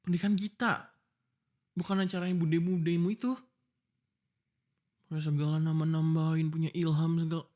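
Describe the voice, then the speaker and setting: A man's voice: a little high, little pitch movement, a little fast, pauses often, a little mellow, close to an everyday voice. A man acting an exasperated, protective young fiancé in a boyfriend ASMR roleplay, pleading and scolding informally. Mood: insistent, exasperated. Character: exasperated, protective young fiancé arguing before his wedding. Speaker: voice actor. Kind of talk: boyfriend ASMR roleplay, one-sided dialogue.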